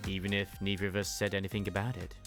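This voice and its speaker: A man's voice: low-pitched, flat delivery, quick pace, over music. A young man reading a game story aloud and chatting enthusiastically about it.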